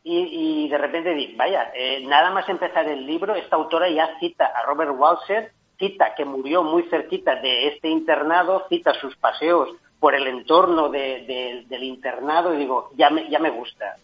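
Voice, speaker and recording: A man's voice: fairly high pitch, normal speed, few pauses. Man, book reviewer, eager, restarts often, dull radio sound. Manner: enthusiastic, frequent restarts. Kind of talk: casual radio book chat.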